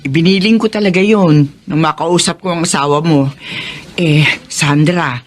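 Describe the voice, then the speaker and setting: A woman playing a loving, excitable middle-aged mother in a Filipino radio drama. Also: deep voice, moderate pace. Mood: friendly, confiding. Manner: expressive, exclaiming.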